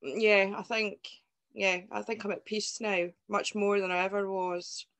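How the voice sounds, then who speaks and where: A woman in her forties with a Scottish accent from Fife, talking as a guest over a video call, quick and rambling. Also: medium pitch, steady tone, fairly bright tone. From Scotland. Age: 40s. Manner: fast talker, rambling, reflective. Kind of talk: casual video-call interview. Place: indoor room at home.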